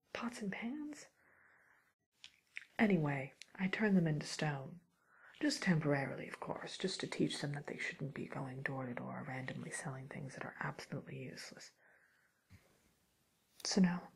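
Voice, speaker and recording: A woman playing a gossipy, mildly menacing witch in a whispered ASMR roleplay, speaking in a breathy, hesitant, musing way. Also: deep voice, full character voice. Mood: uneasy, musing, then curious, probing. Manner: hesitant, musing, softly sly.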